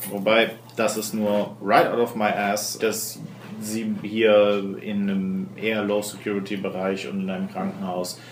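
A man's voice: lowish voice, monotone, a little slow. A man running a tabletop role-playing game, narrating off the cuff and chatting with his players. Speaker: game master. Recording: roomy, echoey room with clear background noise.

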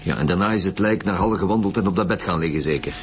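An actor playing a dry, businesslike homicide chief inspector in a radio drama, questioning formally with a Flemish accent. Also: male voice, deep voice, rapid-fire, background noise, natural voice.

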